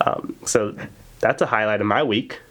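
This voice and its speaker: A young man hosting a podcast in casual chat, getting over a cold, rambling with deadpan humor. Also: fairly low pitch, normal speed. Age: young adult. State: recovering from a cold. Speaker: podcast host.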